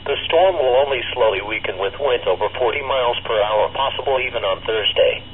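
A synthesized man's voice reading a formal, measured weather bulletin as an announcer, heard through a weather radio speaker. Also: mid-range voice. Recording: tinny narrowband radio speaker, faint noise.

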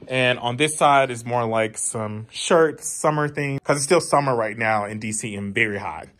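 A man's voice: mid-range voice, moderate pace, fairly bright tone. A man in his thirties talks with a stuffed-up, nasal voice as he walks through his home. He speaks casually and with feeling, calling his viewers y'all. He is filmed on a handheld phone indoors. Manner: chatty, emotional, addresses viewers as y'all. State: nasal congestion. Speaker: vlogger. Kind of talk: casual home-tour vlog. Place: house being packed up, indoors. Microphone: handheld phone camera.

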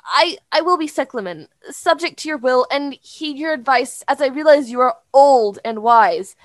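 A woman's voice: high-pitched, lively intonation, normal speed, no room sound, clean studio sound. A woman voicing a naive, defiant young noblewoman, dramatic and earnest in flowery speech, for an improvised fantasy podcast. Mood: feigned obedience.

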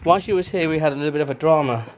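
A man's voice: fairly high pitch, very fast. A man in his thirties from Denmark, chatting casually into a handheld camera with a rambling manner and frequent laughs. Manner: chatty, rambling, laughs often, baby-talks to his dog. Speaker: vlogger. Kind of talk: casual daily vlog. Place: outdoors on a windy beach, partly at home. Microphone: handheld camera at arm's length. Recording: wind noise on camera mic.

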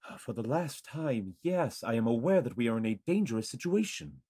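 A man's voice: medium pitch, big pitch swings, brisk, dark tone, husky, no echo, clean studio sound. A man voicing a proud, courtly human nobleman in a visual novel, speaking formally. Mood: impatient. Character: proud armored nobleman, a diplomatic human marquis.

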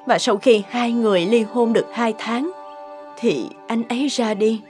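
A sympathetic woman doctor in a cartoon drama acted by a woman. She speaks earnestly and with composure, over clearly audible music. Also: fairly high pitch, moderate pace, darkish tone, lightly acted. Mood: gentle, solemn.

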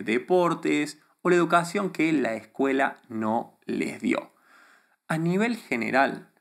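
A man in his thirties from Argentina, with a softened Argentine accent, confidently advising viewers straight to camera from a small studio with a desk microphone. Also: fairly high pitch, vocal fry. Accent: neutralized Argentine Spanish. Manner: confident, emphatic, gestures a lot with his hands. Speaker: YouTube creator. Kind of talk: direct-to-camera advice talk. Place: small studio room before a plain grey backdrop. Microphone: desk microphone in front of him.

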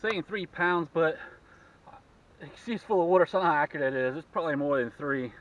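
A man in his forties hosting a fishing vlog, excitedly talking to himself as he catches and measures fish on a lake. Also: fairly high pitch, fairly quick. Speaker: fishing channel host. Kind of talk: casual fishing vlog narration. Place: small boat on an open lake outdoors. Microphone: action camera mounted on the boat. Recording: distant camera mic, dull sound, wind noise.

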